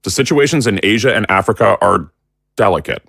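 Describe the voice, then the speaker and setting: An actor playing a hawkish army general who briefs in a formal, measured, ominous way. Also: male voice, on the low side, expressive, vocal fry, fairly bright tone, no echo, studio quality, exaggerated voice. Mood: grave. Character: grave hawkish army general, middle-aged man.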